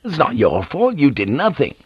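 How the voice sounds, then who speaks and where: A man's voice: mid-range voice, wildly swooping pitch, moderate pace, breathy edge, dry recording, highs cut off, voice pushed up, exaggerated voice. A man reading a story aloud, voicing a blunt, protective foreign sailor who speaks urgently.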